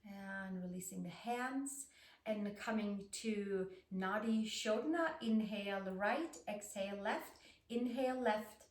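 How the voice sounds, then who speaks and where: A woman with a Swedish accent gently guiding a yoga class, calm and soothing as she talks students through breathing. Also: medium pitch, slow pace. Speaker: yoga instructor. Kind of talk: guided yoga class instruction. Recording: roomy echo, distant mic.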